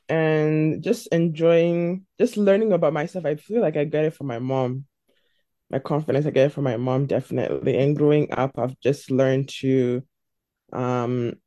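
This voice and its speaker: A woman's voice: extremely low pitch, warm tone, no room sound. A woman in her twenties from South Africa, thoughtfully answering interview questions as a guest. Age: 20s. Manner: thoughtful, searching for words. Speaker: stylist and guest.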